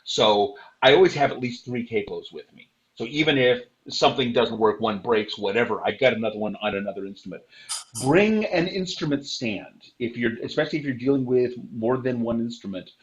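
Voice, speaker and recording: Loud older man, lo-fi call audio. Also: medium pitch, expressive, brisk. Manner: loud, practical advice with many fillers and restarts. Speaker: panelist, performing musician. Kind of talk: online convention panel talk.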